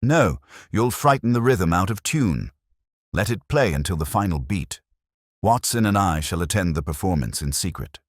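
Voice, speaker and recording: A man narrating plays a shrewd gentleman detective from a mystery audiobook, very deep in voice. He speaks in precise, formal deductions, cryptic and sure of himself, with lively turns of pitch. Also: normal speed, no echo, studio quality. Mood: cryptic, assured.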